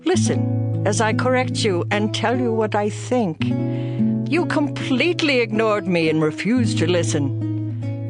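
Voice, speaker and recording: A woman's voice: medium pitch, close to an everyday voice. An actress playing Wisdom as a stern woman crying warnings in a solemn, declamatory scripture reading. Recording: music behind the voice.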